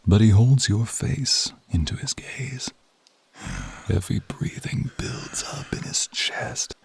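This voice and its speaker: A man's voice: mid-range voice, takes it slowly, creaky, breathy edge. A man narrating a scripted ASMR roleplay in the second person, dramatic and intimate, often dropping toward a whisper.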